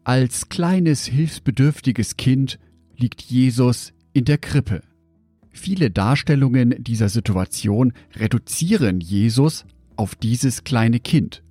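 A man giving a scripted devotional in a measured, reflective way, speaking directly to the listener. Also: mid-range voice, animated, warm tone, breathy edge, no echo, soft background music.

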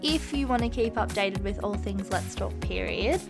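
A woman's voice: medium pitch, lively intonation, normal speed, gravelly, over loud music. A woman hosting a podcast in a casual, effusive way. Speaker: podcast host.

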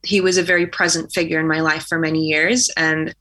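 A young woman with an American accent talks in a casual, chatty way, full of "like" and quick laughs. Her voice sits slightly low but sounds bright and forward. She is a guest musician speaking easily about her work. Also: level tone. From Poland. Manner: chatty, laughs often, uses "like" a lot. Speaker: guest singer-songwriter. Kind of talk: casual interview chat.

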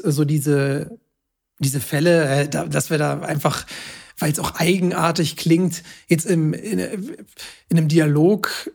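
A man's voice: on the high side, creaky. A man speaking German natively, explaining casually as a host, pedantic yet chatty. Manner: self-confessed language nerd, pedantic yet chatty. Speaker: podcast host explaining grammar.

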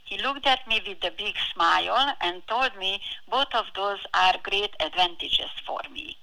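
A middle-aged woman with a heavy foreign accent, answering as a podcast guest in an earnest, deliberate, prepared-sounding way. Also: mid-range voice, vocal fry, sharp tone, rough recording. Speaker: financial advisor, podcast guest.

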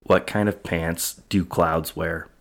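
A man's voice: low-pitched, fairly even intonation, slow pace, creaky. A man co-hosting a podcast, chatting casually and chipping in with comments. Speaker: podcast co-host. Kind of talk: casual chat.

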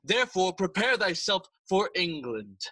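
A man playing a stern, scheming king in a classical audio play, formal, commanding and measured. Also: sits high, very animated, pushed and bright, breathy edge, no room sound, full character voice. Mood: formal, controlled.

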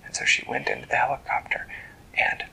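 A parent telling a bedtime story in a hushed, gentle, unhurried way. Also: in a whisper, low-quality recording.

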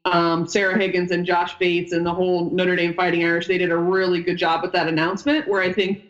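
A woman's voice: lowish voice, level tone, brisk, muffled recording. A woman in her thirties who grew up in upstate New York, a former basketball player and coach chatting as a podcast guest in a rambling way. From upstate New York, United States. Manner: chatty, rambling, wanders off mid-sentence. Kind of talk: casual podcast interview.

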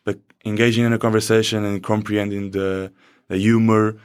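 Young man, low voice, halting speech, student interviewee, campus studio. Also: level tone, darkish tone, clean tone. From Portugal. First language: Portuguese. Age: young adult. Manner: halting, restarts phrases, laughs easily. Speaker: student soccer player. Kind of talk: conversational interview answers.

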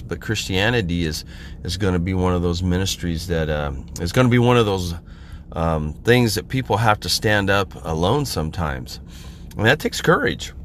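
A hoarse, middle-aged man from Central California preaches an informal podcast sermon in a fairly low voice. He talks in a halting way, full of pauses and restarts, and his voice sounds worn from a cold. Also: a little hiss or hum. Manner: halting, many fillers and restarts. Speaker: podcast minister.

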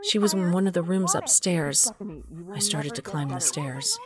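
A woman playing a devoted mother who narrates her story dramatically and deliberately in an audio drama. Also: on the low side, crowd noise, natural voice. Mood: tense recounting.

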